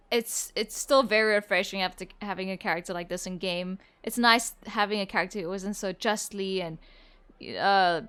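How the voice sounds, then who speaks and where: A woman's voice: mid-range voice, fairly bright tone, clean tone. Chatty woman reacting to a game. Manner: chatty, laughing, filler-heavy. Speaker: gaming streamer. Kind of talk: casual reaction commentary.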